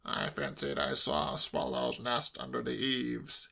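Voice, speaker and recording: Guy doing a grumpy exasperated old uncle, english gent, very gravelly and strained, comic audiobook. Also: medium pitch, big pitch swings, unhurried, bright, forceful tone, pitched below the performer's own voice, exaggerated voice. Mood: defensive, irritated. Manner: testy, strained, emphatic. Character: irritable, exasperated older English gentleman uncle.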